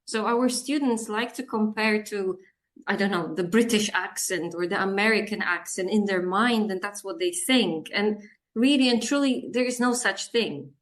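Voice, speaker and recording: A woman's voice: medium pitch, moderate pace. A woman in her forties from Poland, chatting as an interview guest about her work, with frequent laughs and fillers. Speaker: guest, business English communications coach. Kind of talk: casual interview chat.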